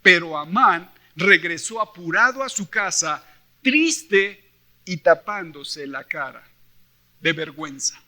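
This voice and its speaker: High, bright-voiced Mexican preacher man. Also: takes it slowly. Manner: animated storyteller, acts out quoted dialogue; emphatic, with rhetorical questions to the listeners. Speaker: preacher at a church convention. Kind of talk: sermon mixing Bible reading and personal stories.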